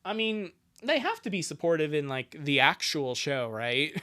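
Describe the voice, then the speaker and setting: Streamer just chatting w viewers, giggly n self-mocking, swears a bit, really animated pitch. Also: normal speed. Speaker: livestreamer. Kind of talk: casual livestream chat, joking with viewers and reading their comments.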